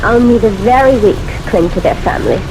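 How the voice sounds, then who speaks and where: A woman's voice: medium pitch, brisk, music in the background, close to an everyday voice, poor audio. A woman playing a bold, scornful young drifter girl who preaches and taunts in a radio drama. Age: young adult. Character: brazen, free-spirited young drifter girl, scornful and domineering. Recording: old radio recording with clear noise.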